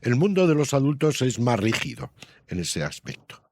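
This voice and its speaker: A man's voice: medium pitch, big pitch swings, normal speed, creaky, noisy background. A man answering as an interviewed author in a reflective, explanatory way, repeating points for emphasis and checking in with short tag questions. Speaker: interviewed children's book author.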